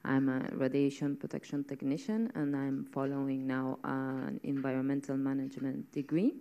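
A woman's voice: rumbling low, creaky, dark tone. A woman from Argentina, a radiation protection technician, introducing herself matter-of-factly into a handheld mic. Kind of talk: self-introduction.

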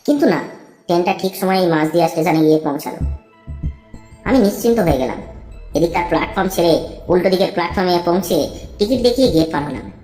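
A woman with a fairly low voice narrates a horror tale. Her delivery is dramatic and deliberate, with wide rises and falls in pitch. The sound is roomy and echoing, with soft music behind her. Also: expressive. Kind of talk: scripted first-person horror story narration.

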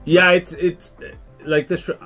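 A man's voice: sits high, flat delivery, a little slow, sharp tone, faint music behind. A man in his thirties from Sri Lanka, a podcast guest, talking bluntly and swearing freely, with "like" dropped all through his speech. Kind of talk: casual interview chat.

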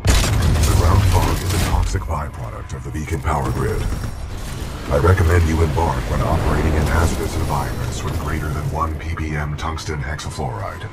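A man playing a giant military robot, loyal, calm and logical, speaking formally in clipped technical statements in a video game. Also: very deep voice, normal speed. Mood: calm, alert. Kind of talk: scripted video game dialogue. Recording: loud game music behind.

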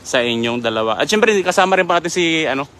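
A man's voice: fairly high pitch, expressive, fast talker, fairly bright tone, slight background noise. Chatty young man hosting a riverside livestream. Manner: chatty, greets viewers by name, polite po. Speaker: livestream host. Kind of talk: live fishing stream commentary. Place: outdoors by a river. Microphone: handheld phone.

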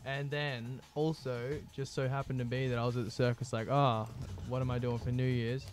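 A man's voice: mid-range voice, little pitch movement, moderate pace, faint music behind. Laid-back slangy man. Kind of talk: casual chat.

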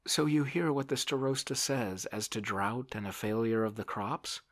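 Man reading as a fretful, high-pitched idle landowner. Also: normal speed, a bit bright, lightly acted. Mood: irritable, pleading. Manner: plaintive, dithering, protesting. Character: fretful, indolent, helpless Russian landowner man. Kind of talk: audiobook character voice.

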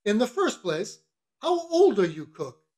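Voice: medium pitch, very animated, unhurried, mellow. A reader voicing a bossy, mocking whaling-ship officer in an audiobook, questioning with teasing mock solemnity. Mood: mocking, interrogating. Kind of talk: literary audiobook character reading.

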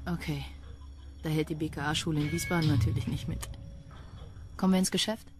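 A woman's voice: deep voice, fairly even intonation, brisk, music in the background, close to an everyday voice. An actress playing a cool, firm female police investigator in a crime radio play, dry and insistent. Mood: coolly bargaining. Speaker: actor in a crime radio drama. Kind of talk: German radio play acting.

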